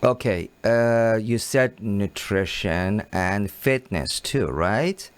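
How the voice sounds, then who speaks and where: A man hosting a live call-in show, speaking halting English and repeating short phrases like "very good" and "thank you.". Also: fairly low pitch, clear, resonant tone.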